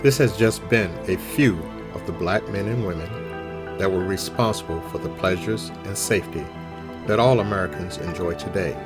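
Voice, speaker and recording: A man's voice: low-pitched, moderate pace. A man reading a scripted spoken tribute aloud. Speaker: volunteer reader. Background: music.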